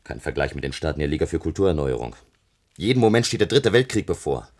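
An actor playing a brusque, impatient army colonel in a German radio play, speaking emphatically and curtly. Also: male voice, a bass voice, very animated, fast, darkish tone, heavily acted. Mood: agitated, alarmed. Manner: emphatic, curt, swearing, commanding.

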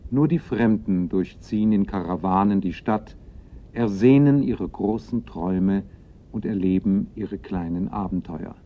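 Measured narrator of a crime radio play, low voice, slightly muffled old recording. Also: male voice. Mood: atmospheric. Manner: measured, literary. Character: dry, atmospheric male narrator of a crime story.

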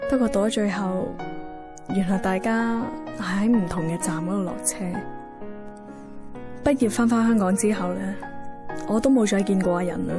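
A woman's voice: mid-range voice, close to an everyday voice. An actress playing a wistful young woman with a Hong Kong accent, reflectively narrating a lost love in a radio drama.

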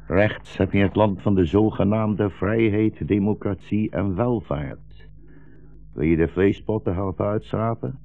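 Deep, gravelly, weary old rabbi. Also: male voice. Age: older. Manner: solemn, brooding, declamatory. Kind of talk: 1960s Dutch radio drama acting. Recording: dull, muffled 1960s radio recording.

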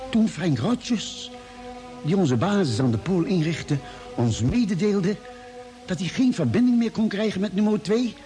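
Lively-pitched space expedition narrator. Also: male voice. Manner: measured, dramatic phrasing. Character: expedition member solemnly recounting a space voyage. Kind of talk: scripted radio play narration.

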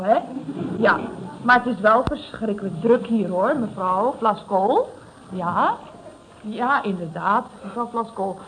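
An actress playing a breezy, evasive secretary at a construction firm, chatty and falsely soothing, in a radio comedy from around 1970. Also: female voice, a little high, fairly bright tone. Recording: noisy vintage radio recording.

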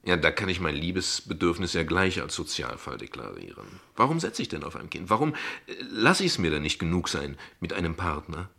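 A man playing an evasive, ironic father in a radio play, speaking wordily and with hesitations, in a husky voice. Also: sits low, sing-song, a little fast, hardly any pauses, bright, forceful tone, full character voice. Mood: wry, defensive. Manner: wordy, sardonic, deflecting, with hesitations. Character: evasive, ironic, verbose father defending his unconventional family. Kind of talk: dialogue radio play acting in standard German.

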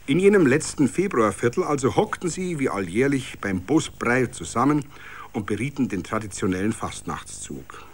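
A man's voice: medium pitch, expressive, moderate pace, breathy edge. Male radio storyteller. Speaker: narrator.

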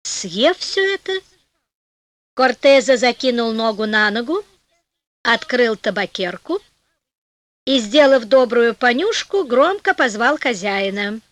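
A woman reads a folk tale with theatrical expression. Her voice is high and her pace is slow and deliberate, in a close, dry recording. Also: lively intonation, fairly bright tone, dry recording. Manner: theatrical storytelling. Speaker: audiobook narrator.

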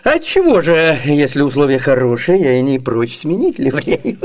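Radio play role: chatty, scheming, ever-hungry manservant; high male voice, very lively melody, theatrical delivery. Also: normal speed. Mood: eager, wily. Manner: sighing, expressive, eager. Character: hungry, wily, chatty comic servant man. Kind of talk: theatrical Soviet radio comedy acting.

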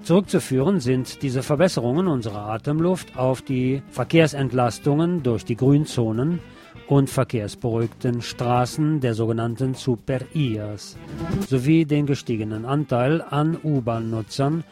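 A man's voice: mid-range voice, moderate pace, warm tone. A man presenting news items as a scripted magazine-style read. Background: music.

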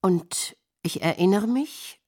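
An actress playing a halting woman who searches her memory and repeats herself in a radio drama monologue, with a breathy voice. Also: mid-range voice, lively intonation, dark tone. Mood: halting, insistent. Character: halting woman recalling experiments on children.

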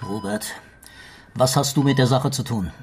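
A man's voice: mid-range voice, big pitch swings, moderate pace, creaky, faint music behind. An actor playing a stern middle-aged police detective and father in a German radio drama, interrogating and sarcastic. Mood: accusing.

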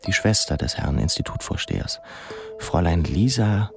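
A musing young pupil with a low, creaky voice, confiding wry thoughts in a radio drama. The delivery is intimate and ironic, with soft music underneath. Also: male voice, lively intonation, moderate pace. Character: young male pupil, musing, self-mocking dreamer. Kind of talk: intimate first-person radio drama monologue.

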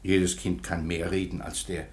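A man plays a humble master shoemaker in a radio play, polite and eager to have his say. His voice sits low. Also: little pitch movement, normal speed, natural voice. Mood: deferential. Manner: servile and deferential.

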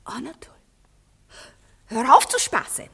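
An actress in a German radio drama playing a passionate, vengeful young mistress who has been spurned, in a theatrical and emotionally intense manner. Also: female voice, high-pitched, big pitch swings, measured pace, gravelly, bright, forceful tone, slightly rough, whispered, full character voice. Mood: stunned, hushed.

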